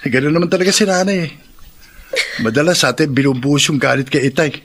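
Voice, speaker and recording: Teenage younger brother, slightly high voice, Filipino accent, reassuring, radio drama. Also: male voice, fast talker, a bit bright. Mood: sympathetic. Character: caring, hardworking teenage schoolboy, younger brother.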